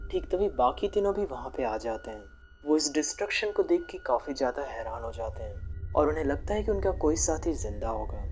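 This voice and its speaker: A woman narrator delivers a scripted movie recap. Her voice sits low, yet moves around in a lively way. Faint music plays underneath.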